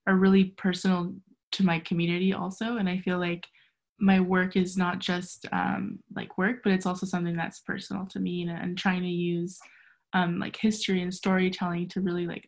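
A woman's voice: fairly low pitch, vocal fry. A woman born in Egypt and raised in San Diego, a doctoral student chatting casually over a video call with many fillers. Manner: chatty, lots of "like" and "um". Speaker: PhD student. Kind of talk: casual group chat.

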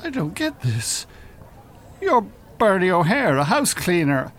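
A man's voice: sits high, sing-song, unhurried, a bit bright, slight background noise, exaggerated voice. A man playing a pompous, evasive Irish hypnotist and con artist in an audio drama, speaking formally. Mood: flustered, indignant.

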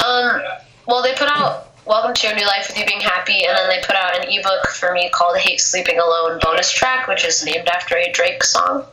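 A woman's voice: mid-range voice, brisk. A young woman poet with a cold, chatting casually in an interview and filling her speech with "like". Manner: casual, lots of filler "like". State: has a cold, losing her voice. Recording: echoey room, faint noise.

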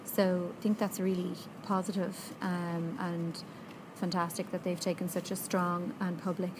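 A woman giving an interview answer about funding policy, measured and halting with frequent fillers. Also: fairly low pitch, flat delivery. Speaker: interviewee, industry advocate. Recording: noisy background.

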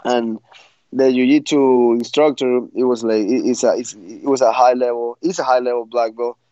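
Young man, blunt storyteller who keeps restarting; dry, dark sound. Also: mid-range voice, fairly even intonation, moderate pace, no echo, lo-fi sound. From Ecuador. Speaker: guest fighter. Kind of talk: interview answers.

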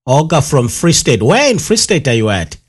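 A man's voice: on the high side, sing-song, normal speed, breathy edge. A man hosting a live radio interview, rambling with many fillers and repeating himself. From South Africa. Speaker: radio show host.

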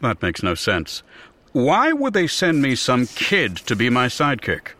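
An actor playing an exasperated, principled supervillain in an audiobook drama, flustered and theatrical in manner. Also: male voice, medium pitch, huge pitch swings, a little slow, sharp tone, full character voice. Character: exasperated, principled male supervillain with a false arm. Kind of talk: full-cast audiobook drama.

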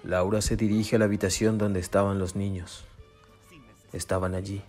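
A male storyteller narrates a suspense radio play. His low voice stays very even, over prominent music. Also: normal speed.

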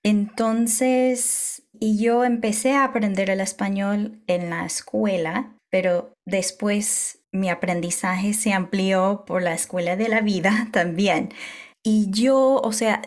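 A young woman guest whose first language is English, speaking reflectively with light laughs in an interview. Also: medium pitch. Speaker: podcast guest. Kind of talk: interview conversation.

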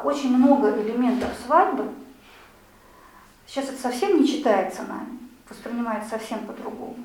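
A woman's voice: fairly high pitch, a little mellow, slight background noise. A woman giving a lecture and answering questions, talking in an explanatory, conversational way with many fillers. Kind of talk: lecture with audience discussion. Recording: echoey room, voice from far off.

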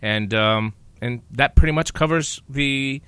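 Rambling male podcast host of Greek origin, bright tone, dry close sound. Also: mid-range voice, a little slow, clear, resonant tone, dry recording. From Greece. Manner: rambling, lots of fillers and repeats. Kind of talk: casual podcast chat.

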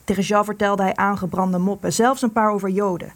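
Anxious wartime wife in hiding played by an actress. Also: female voice, mid-range voice, fairly even intonation, a little fast, close to an everyday voice. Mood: scornful. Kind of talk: Dutch radio play acting.

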